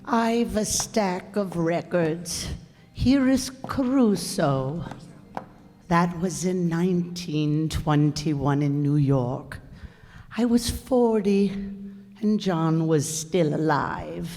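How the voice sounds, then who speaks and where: A woman's voice: fairly low pitch, animated, drawn-out words, warm tone, heavily acted. A woman playing an elderly, nostalgic widow in a radio play, reminiscing wistfully. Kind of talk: radio drama acting. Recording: echoey hall with music behind.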